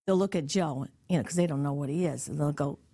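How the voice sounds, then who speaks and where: Utica woman with an upstate New York accent, animated storyteller who mimics other people. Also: deep voice, quick pace, breathy edge. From East Utica, upstate New York. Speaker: guest, mother of the host. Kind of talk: casual chat, storytelling.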